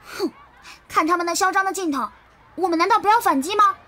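A narrator voicing a bold, feisty schoolgirl in a children's audio drama, speaking in a brisk, defiant way. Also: very high voice, sharp tone, pitched above the performer's own voice.

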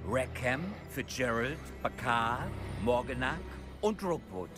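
Give me a grave, proud old goblin lord for a game, curt and distrustful, his pitch rising and falling with feeling. Game music sits clearly behind him. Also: animated. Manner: stern, measured, curt. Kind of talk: German game dub.

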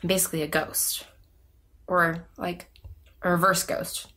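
An actress playing an anxious, lonely woman in her twenties, speaking haltingly and self-deprecatingly in a naturalistic audio drama. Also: on the low side, level tone, bright, forceful tone. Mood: guarded, matter-of-fact. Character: anxious, lonely young woman who vanishes through time.

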